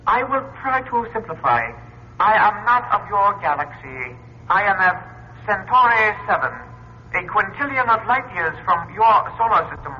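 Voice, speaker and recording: An actor playing a courteous but imperious disembodied alien in a vintage radio drama, with formal, measured, pedantic diction. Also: male voice, sits high, big pitch swings. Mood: coolly courteous. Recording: dull, noisy old radio recording.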